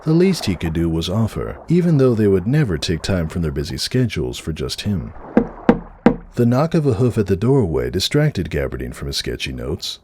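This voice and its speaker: A man narrates fiction in a very low register. His delivery moves up and down in a lively way, like a dramatic reading of a story. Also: slight background noise.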